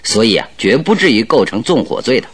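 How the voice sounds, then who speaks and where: A man's voice: mid-range voice, sing-song, a little fast, husky. An actor playing a clever, confident detective in a radio drama, explaining his schemes in a persuasive, eager way. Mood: confident scheming. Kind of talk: Chinese radio drama acting.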